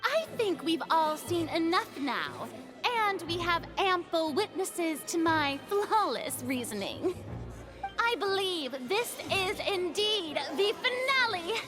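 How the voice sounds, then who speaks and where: An actress playing a haughty, theatrical young woman in a video game, delivering pompous, dramatic, drawn-out speech. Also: very high voice, very animated, over music, full character voice. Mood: triumphant.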